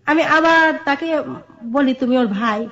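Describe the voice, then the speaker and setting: Middle-aged woman, Bangladeshi accent, high voice. Earnest personal storyteller in interview, lo-fi sound. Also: unhurried, fairly bright tone. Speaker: guest telling her life story. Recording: dull, muffled lo-fi audio.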